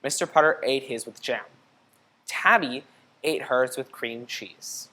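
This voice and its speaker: A woman with a low-pitched voice reads a picture book aloud for children. She is expressive and teacherly, moving between narration and questions put to her listeners. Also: measured pace, rough recording. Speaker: storybook reader.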